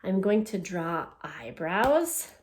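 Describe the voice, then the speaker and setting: A woman teacher leading a read-aloud and art lesson for children, speaking in simple, gentle, encouraging phrases. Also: medium pitch, sing-song, slow pace, slight background noise. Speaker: elementary school teacher.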